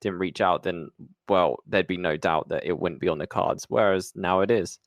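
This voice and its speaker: A man's voice: low voice, moderate pace, dry recording, studio quality. A man hosting a podcast interview, speaking in a conversational way with his guest. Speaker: podcast host.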